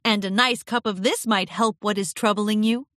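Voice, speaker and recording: A woman's voice: medium pitch, fairly even intonation, normal speed, fairly bright tone, no echo, clean studio sound, close to an everyday voice. A woman voicing a friendly, composed young pony who sounds politely concerned and encouraging, in a children's audiobook.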